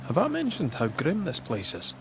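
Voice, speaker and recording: An actor playing a grumbling, loyal young Scottish ghost hunter in a video game, with a dry, sardonic manner. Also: male voice, fairly high pitch, wildly swooping pitch, moderate pace, music in the background. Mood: weary, dry. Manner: dry, sardonic, colourful complaining. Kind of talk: video game dramatic dialogue.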